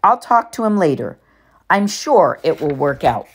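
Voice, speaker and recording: A woman voicing a gentle, worried mother who speaks softly and pleads. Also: low voice, very animated, a little slow, slightly rough, heavily acted. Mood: pleading.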